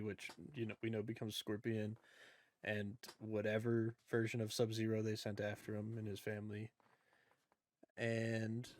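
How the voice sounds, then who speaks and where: A man speaks as a podcast co-host in a close, dry recording. His pitch is average for a male voice, and he talks in a blunt, informal, conversational style. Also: no echo. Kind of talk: casual chat about movies.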